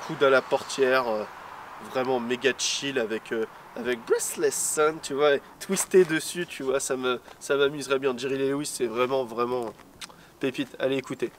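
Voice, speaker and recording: A man co-hosting a casual chat about cars and music, relaxed and joking, rambling with many hesitations. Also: mid-range voice, normal speed. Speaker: co-host. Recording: distant mic with faint outdoor noise.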